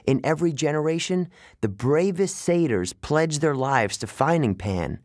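A man voicing an earnest, idealistic young male satyr who sounds wistful and quick to take offense. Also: a little high, animated, a little mellow, dry recording, studio quality, voice pushed up, natural voice. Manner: wistful, indignant about pollution.

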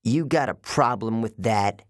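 A man doing a husky, sneering bully girl. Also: on the low side, unhurried, no room sound, studio quality, close to an everyday voice. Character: big tough teenage girl bully, sneering.

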